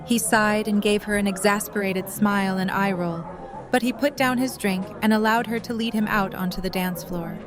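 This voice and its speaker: A woman's voice: medium pitch, fairly even intonation, normal speed. A woman reading scripted story narration as the narrator of an audio drama. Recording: music and crowd chatter behind.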